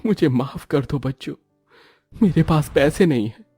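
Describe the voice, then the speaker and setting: A man's voice: on the high side, lively intonation, measured pace, mellow, husky, no echo, studio quality, soft background music, voice pushed up, full character voice. A man voicing a worn-out, apologetic poor father and husband in a moral-story cartoon. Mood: dejected, apologetic.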